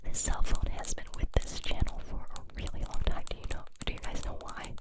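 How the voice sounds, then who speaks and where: A woman whispering close to the mic for ASMR, congested from a cold, with soft trailing phrases. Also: a little fast. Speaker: ASMR creator. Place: home room with string lights. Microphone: close binaural mic.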